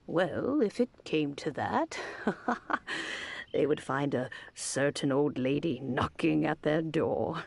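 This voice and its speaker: A woman voicing a wise, kindly old granny in a video game, unhurried and gently chiding. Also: sits low, animated, creaky, full character voice. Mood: playful. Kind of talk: video game dialogue acting.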